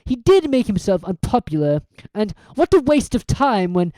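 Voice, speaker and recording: A woman's voice: medium pitch, big pitch swings, normal speed, warm tone, no echo, voice pushed up, close to an everyday voice. A woman doing a cold, mocking villain teacher. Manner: cool, mocking, mock stutter.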